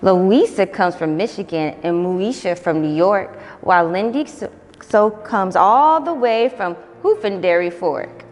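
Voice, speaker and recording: A young adult woman reads picture books aloud for children with an expressive, lilting delivery. Her pitch moves widely through each line. The recording has a long roomy echo and soft background music. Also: a little slow. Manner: expressive, sing-song read-aloud. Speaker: volunteer storybook reader. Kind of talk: children's storytime read-aloud.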